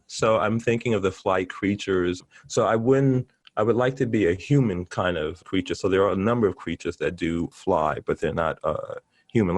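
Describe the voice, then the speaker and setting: A middle-aged man chatting casually as an interview guest, talking in an animated, effusive and rambling way. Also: lowish voice, no room sound. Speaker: interview guest, artist and teacher.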